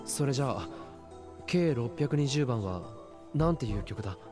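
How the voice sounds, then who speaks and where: Brooding young male lead played by a man, mid-pitched, quick and terse, visual novel, music behind. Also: expressive, natural voice. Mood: intent. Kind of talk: Japanese visual novel voice acting. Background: game music.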